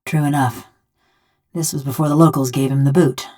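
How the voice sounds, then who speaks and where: A woman's voice: a bass voice, fairly even intonation, moderate pace, dark tone. An actress playing a bitter, vengeful female killer trapped in a metal body, speaking folksy rustic game dialogue in a wry, brooding way. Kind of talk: scripted video game character dialogue.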